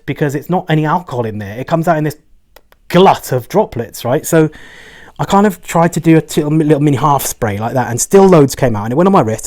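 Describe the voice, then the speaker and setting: A man talking casually on a fragrance review vlog, rambling along and often checking in with a quick "right?". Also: a little high, darkish tone.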